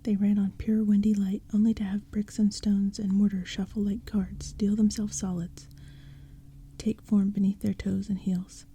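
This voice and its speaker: A woman narrating a storybook in a soft, hushed whisper. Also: medium pitch, level tone, moderate pace, a little hiss or hum.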